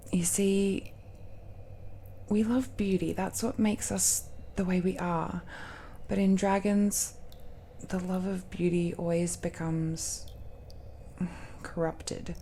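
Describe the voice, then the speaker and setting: A woman's voice: lowish voice, gravelly, mellow, a little hiss or hum. A woman playing a tender, possessive dragon-descended girlfriend in an intimate romantic audio roleplay, speaking hesitantly and breathily, often near a whisper. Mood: earnest, wistful.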